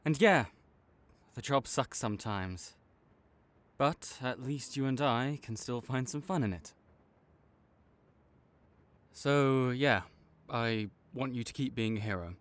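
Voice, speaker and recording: A man playing a tsundere supervillain in an audio roleplay, halting and exasperated, teasing and reluctantly caring toward a rival. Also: medium pitch, lightly acted. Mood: grudgingly encouraging. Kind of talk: intimate audio roleplay addressed to the listener.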